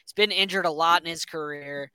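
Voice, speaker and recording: A man's voice: a little high, fairly quick, bright, forceful tone, clear, resonant tone, no echo. A man co-hosting a sports talk podcast, laying out his argument step by step. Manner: argues his point, builds a case step by step. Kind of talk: casual sports analysis.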